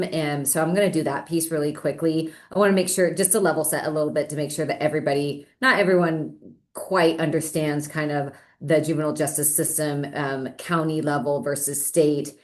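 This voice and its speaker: Low-voiced woman introducing herself to a council. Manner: friendly, chatty, with fillers. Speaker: assistant director of community services. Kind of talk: self-introduction at a public meeting. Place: meeting room, same room as a colleague. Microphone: laptop microphone.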